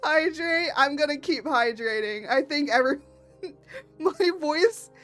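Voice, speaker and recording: A young woman streaming, her voice hoarse from laughing, breaking into giggles as she chats. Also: high voice, lively intonation, a little slow, fairly bright tone, soft background music. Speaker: VTuber artist streamer. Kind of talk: casual live stream chat.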